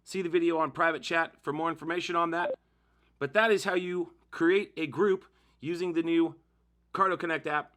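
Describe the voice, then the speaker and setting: Casting: male tutorial host, slightly high pitch, bright forceful tone, informal step-by-step delivery. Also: fairly even intonation, normal speed. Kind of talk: casual how-to demonstration narration.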